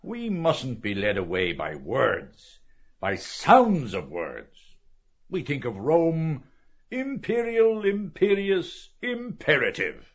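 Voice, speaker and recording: A man voicing a grandiloquent, scornful professor in an audiobook, with deliberate, emphatic oratory. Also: fairly high pitch, huge pitch swings, breathy edge, highs cut off, voice pushed up, heavily acted. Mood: oratorical.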